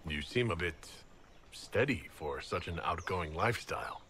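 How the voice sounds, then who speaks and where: An actor playing a steady, gallant leader in a video game, speaking in a courteous, direct and diplomatic manner. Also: male voice, deep voice, normal speed, a little hiss or hum, exaggerated voice. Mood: reassuring. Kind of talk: video game cutscene acting.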